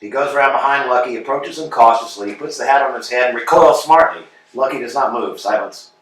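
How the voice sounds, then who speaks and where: A man's voice: medium pitch, brisk, fairly bright tone. A man reading a play's stage directions in a matter-of-fact way, in a staged reading. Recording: echoey room, distant mic.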